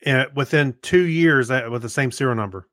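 A man co-hosting a podcast in casual chat, talking in a hesitant, low-key, meandering way. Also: a little fast, a bit bright, dry recording, studio quality. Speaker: podcast co-host.

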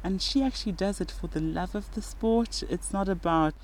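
An earnest woman with a Zimbabwean accent speaks at a middle pitch, thoughtful and unhurried. She laughs easily as she tells stories. A faint hiss sits behind her voice. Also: darkish tone, poor audio. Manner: earnest and reflective, breaks into laughter while telling stories. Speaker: guest, nurse and rock climber. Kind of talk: podcast interview conversation.

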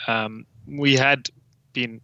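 A Belgian man whose first language is Flemish, speaking English with a Flemish accent as a podcast guest, explaining his job in a relaxed way with frequent fillers. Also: medium pitch, extremely slow, no room sound, lo-fi sound. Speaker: guest, network solutions architect. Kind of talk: casual podcast interview.

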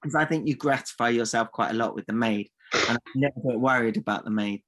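A man's voice: mid-range voice, fast, a bit bright. A man co-hosting a comedy podcast, teasing and theatrically mock-insulting his partner. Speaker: podcast co-host. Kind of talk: comic banter.

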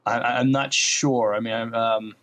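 Halting man co-hosting a casual podcast. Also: mid-range voice, steady tone, a bit bright, slight background noise. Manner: halting, repeats words while thinking. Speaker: podcast co-host. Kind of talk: casual review chat about TV shows.

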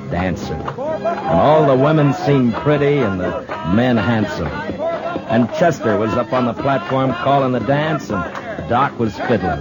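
An actor playing a plainspoken, reflective frontier lawman, with halting, musing delivery in 1950s radio western style. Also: medium pitch. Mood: wistful. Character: plainspoken, reflective Old West lawman narrating his story. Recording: dull old 1950s radio recording with music.

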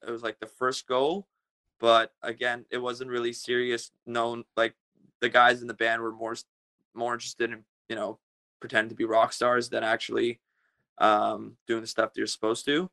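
A man in his thirties, originally from Russia, answers a podcast interviewer's questions in a casual, halting way, with frequent fillers and restarts. His voice is gravelly and bright and stays fairly flat in pitch, yet it comes through smooth and clear. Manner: hesitant, many fillers and restarts. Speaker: guest musician.

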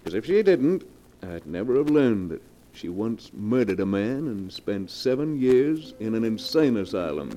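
An actor playing a vain, scheming husband in a 1940s radio melodrama, with a pompous, theatrical manner. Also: male voice, lowish voice, sing-song, measured pace, noisy background. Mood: mock pity. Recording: dull 1940s radio recording.

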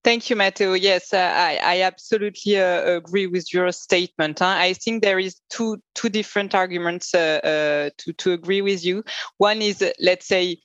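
A woman answering on a panel, earnest and halting, with many fillers. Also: lowish voice, fairly even intonation, a bit bright, no echo. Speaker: panel guest from a bank. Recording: dry, dull lo-fi audio.

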